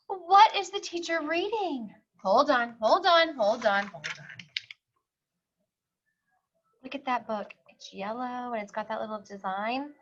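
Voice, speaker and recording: High, bright woman reading to children. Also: takes it slowly, pauses often, lo-fi sound. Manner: expressive, singsong, explaining to small children. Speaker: storybook reader teaching young children. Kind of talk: children's read-aloud with questions and explanations.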